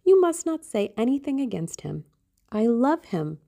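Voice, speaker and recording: A woman's voice: fairly high pitch, very animated, moderate pace, gravelly, warm tone, voice pushed up. A woman voicing a dreamy, impulsive teenage girl in love, theatrical and exclamatory, in an audiobook. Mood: indignant. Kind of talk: audiobook character acting.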